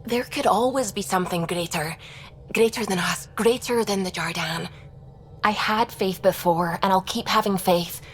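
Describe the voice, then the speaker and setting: An actress playing an earnest, thoughtful scientist in a video game, speaking in a reflective, sincere way. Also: female voice, medium pitch, moderate pace, fairly bright tone, a little hiss or hum, lightly acted. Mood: pensive, sincere. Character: earnest, thoughtful female scientist of deep faith.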